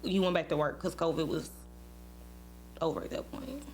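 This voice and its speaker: A woman's voice: low-pitched, steady tone, normal speed, darkish tone. A woman in her late twenties chatting informally and teasingly as a podcast host, telling stories and bantering. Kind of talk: casual couple banter and storytelling. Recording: clearly noisy with a hum.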